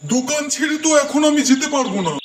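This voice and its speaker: A man's voice: very high-pitched, very animated, fast, background noise. A man voicing a puzzled, suspicious village shopkeeper in a Bengali cartoon, echoey and over music. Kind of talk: Bengali cartoon moral story dialogue.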